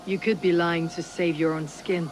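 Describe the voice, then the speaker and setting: An actor playing a wry, blunt, level-headed Viking warrior in a video game. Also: male voice, a little high, little pitch movement, moderate pace, faint music behind, lightly acted. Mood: firm, questioning. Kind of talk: video game character dialogue.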